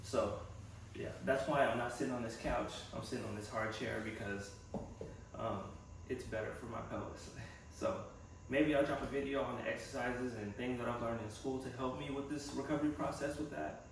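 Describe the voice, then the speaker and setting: A man's voice: mid-range voice, normal speed, vocal fry. A young man talking casually in a personal vlog, reflective and rambling as he thinks aloud in an echoey room. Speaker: vlogger and physical therapy assistant student. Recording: echoey room from a distance, faint noise.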